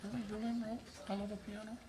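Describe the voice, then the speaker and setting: A man's voice: high-pitched, flat delivery, quick pace, a crowd in the background. A man in casual conversation as a member at a club meeting. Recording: very noisy live meeting room. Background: loud room noise.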